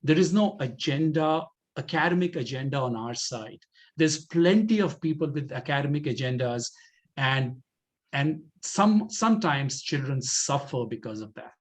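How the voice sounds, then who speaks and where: A man presenting a community project in an informal question-and-answer talk, deliberately repeating phrases for emphasis. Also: mid-range voice, animated.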